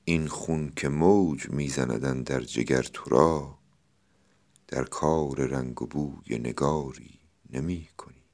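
An extremely deep male voice. He speaks as a podcast host presenting classical poetry, moving between recitation and informal explanation. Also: darkish tone.

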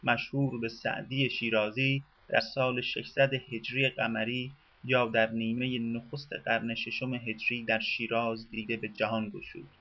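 Male narrator for a radio biography, formal and measured, recorded lo-fi. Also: medium pitch, flat delivery.

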